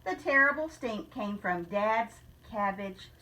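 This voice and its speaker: A woman reading a rhyming children's picture book aloud in a deliberate, expressive storytelling manner, like a teacher. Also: mid-range voice, far from the mic, poor audio.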